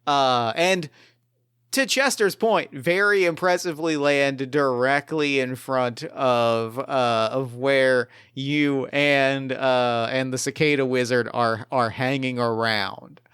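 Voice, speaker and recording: A man narrating an improvised tabletop game as game master, with deliberate, halting, dramatic phrasing. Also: medium pitch, steady tone, a bit bright, clear, resonant tone, no room sound, clean studio sound. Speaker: game master and podcast host.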